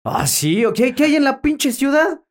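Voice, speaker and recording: A man's voice: very high-pitched, sing-song, normal speed. A young Mexican man co-hosting a comedic political podcast, playful and profane, prone to exaggerated outbursts. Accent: Mexican Spanish. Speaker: podcast co-host. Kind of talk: casual comedic political chat.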